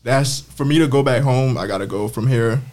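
A man's voice: medium pitch, brisk, darkish tone. A young man from the Caribbean, a guest who is a campus barber, chatting casually and laughing often on a podcast. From Caribbean, Saint Croix. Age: young adult.

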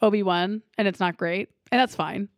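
A woman's voice: mid-range voice, fairly even intonation, moderate pace, no room sound, clean studio sound. A woman co-hosting a podcast, sick and losing her voice, chatting casually about a movie. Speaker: podcast co-host.

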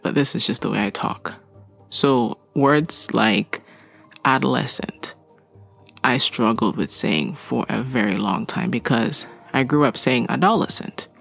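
A man's voice: mid-range voice, creaky, fairly bright tone. A man whose first language is not English, hosting a podcast. He reads out listener letters and breaks off for chatty, joking asides. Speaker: podcast host.

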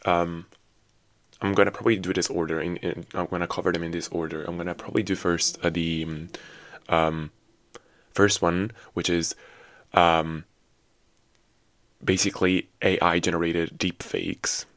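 A young man with a very deep voice, raspy and rough from a night out, rambles through a casual solo podcast with lots of fillers and restarts. The sound is dry and close, with no room around it. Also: level tone, a little slow, long pauses, fairly bright tone, no room sound. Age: 20s. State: raspy, low voice after a night of drinking. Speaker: podcast host.

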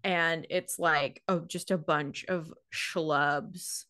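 A woman's voice: low-pitched, steady tone, extremely slow, fairly bright tone, no room sound, clean studio sound. An American woman co-hosting a casual podcast, telling anecdotes and acting out quotes as she goes. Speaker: podcast co-host.

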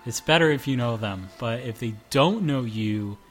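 A man's voice: mid-range voice, animated, normal speed, faint music behind. A man in his twenties hosting a casual group chat, joking and rambling. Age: 20s. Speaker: podcast host.

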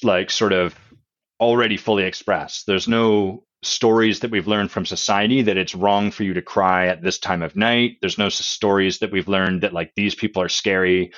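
A man, the author and guest, explaining his concepts in a relaxed podcast chat with examples and analogies, with a loud voice. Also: low-pitched, dull audio.

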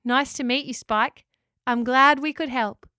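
A woman's voice: high-pitched, fairly even intonation, unhurried, sharp tone, clear, resonant tone, no room sound, clean studio sound, pitched above the performer's own voice. A woman voicing a friendly, welcoming female talking house in a children's storybook.

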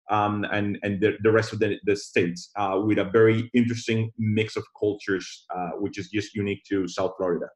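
A man from Colombia, a guest on a podcast, speaking in a measured way with many restarts. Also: lowish voice, darkish tone. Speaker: guest, tech founder. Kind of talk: podcast interview.

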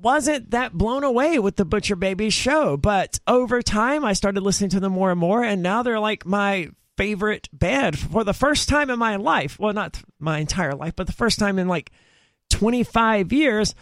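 A man's voice: sits high, lively intonation, normal speed, a bit bright, no echo, clean studio sound. A man hosting a casual podcast chat, talking in rambling, self-correcting bursts with emphatic asides. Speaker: podcast host. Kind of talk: casual chat about metal bands.

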